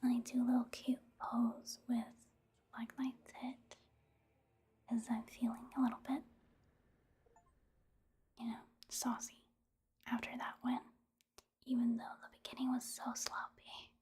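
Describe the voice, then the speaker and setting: A woman softly whispering casual ASMR gameplay commentary in a breathy, hushed, unhurried way. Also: fairly high pitch, monotone.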